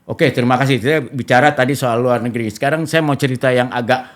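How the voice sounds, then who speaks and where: A middle-aged Indonesian man hosting a talk show, telling stories in an animated, casual conversational way. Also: medium pitch, fast talker, a little hiss or hum.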